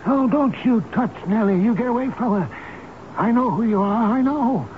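High-pitched old man, stubborn, devoted, folksy, radio drama, muffled old broadcast. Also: lively intonation, normal speed, a little hiss or hum. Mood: defiant, upset. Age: older. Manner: folksy, plain-spoken. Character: stubborn, devoted old elevator operator, sentimental about his machine.

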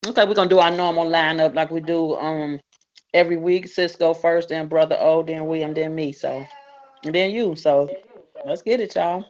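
A woman's voice: deep voice, steady tone, moderate pace, a little mellow. A woman of fifty co-hosting an open mic show, talking casually with exuberant, churchy enthusiasm. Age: 50s. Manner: exuberant, churchy, talks to the audience as y'all. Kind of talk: casual chat. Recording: dull lo-fi online radio audio.

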